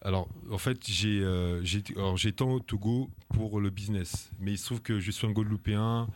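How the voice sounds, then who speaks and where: A man's voice: on the low side, fairly even intonation, normal speed, slight background noise. A man from France, an activist guest on a radio interview, speaking earnestly and indignantly with many hesitations, fillers and restarts.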